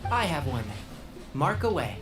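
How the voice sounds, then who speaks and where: A woman's voice: sits low, very animated, measured pace, over music. An actress voicing a confident, practical young woman traveller in a video game, with a wry, self-assured manner. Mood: decisive. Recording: loud background noise and ambience.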